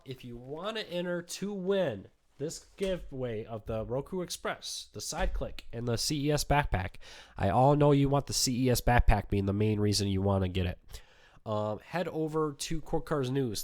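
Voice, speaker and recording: A man hosting a casual live question-and-answer stream, talking in a relaxed, chatty way with lots of ums, his voice weak from a cold. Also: mid-range voice, normal speed. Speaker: host answering viewer questions.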